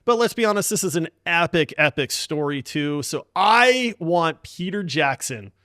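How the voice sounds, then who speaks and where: Higher-pitched man hosting a casual podcast. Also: expressive, moderate pace, pushed and bright, dry recording, studio quality. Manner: informal, emphatic, buddy-like address. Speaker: podcast host. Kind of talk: casual enthusiastic podcast chat.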